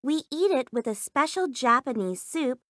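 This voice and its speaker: A woman's voice: high voice, animated, normal speed, a bit bright, no echo, clean studio sound. A woman voicing a cheerful young schoolgirl, reading scripted textbook lines with careful, simple enunciation. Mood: pleasant, informative. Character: cheerful young Japanese schoolgirl describing meals.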